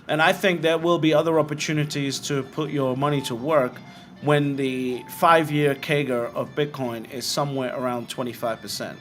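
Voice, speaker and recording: A British man hosting a live show and answering viewer comments in a frank, blunt way, firing off quick rhetorical questions. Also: a little high, fairly even intonation, fairly bright tone, faint music behind. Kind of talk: casual livestream commentary.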